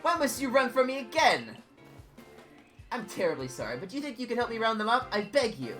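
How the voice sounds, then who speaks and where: A man voicing a fussy, excitable male scholar who speaks in polite, old-fashioned phrases and swings high with alarm. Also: a little fast, faint music behind, heavily acted. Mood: flustered.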